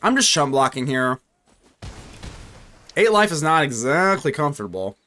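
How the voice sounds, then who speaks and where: A man's voice: on the high side, moderate pace. A young man giving casual live commentary on a card game, joking and talking to himself and his chat. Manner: animated, talks to himself and chat, jokey. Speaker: gaming streamer.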